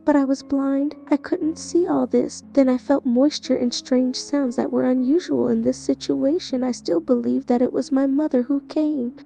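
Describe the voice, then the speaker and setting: A woman with a high, gravelly voice narrates a first-person horror story. Her tone is mellow and earnest, and soft music plays underneath. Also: fairly even intonation, normal speed, few pauses. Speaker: narrator and lead character.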